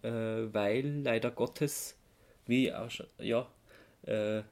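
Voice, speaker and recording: A man with an Austrian accent co-hosting a podcast, explaining things casually in a somewhat halting way. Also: medium pitch, fairly even intonation, a little mellow. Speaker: podcast co-host.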